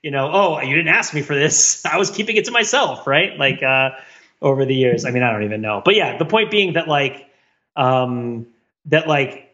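A man's voice: mid-range voice, moderate pace, lo-fi sound. A man co-hosting a podcast in casual discussion, talking in a rambling, wry way and often questioning his own points. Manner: rambling, self-questioning, wryly animated argument. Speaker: podcast co-host.

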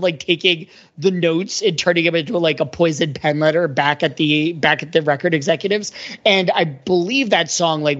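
A man talking casually and analytically as a panelist, halting and often rephrasing, on slightly dull audio. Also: on the high side. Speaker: podcast panelist.

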